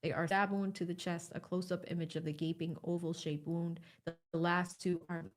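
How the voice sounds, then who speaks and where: Woman reading out court testimony, kinda low voice, factual and clipped. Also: little pitch movement, brisk. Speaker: reporter of trial testimony. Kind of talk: reading a trial recap aloud.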